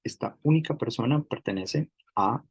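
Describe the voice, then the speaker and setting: A middle-aged man giving an academic lecture, explaining through hypothetical examples and often pausing on "digamos". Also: mid-range voice, expressive, husky, dry recording. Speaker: university lecturer.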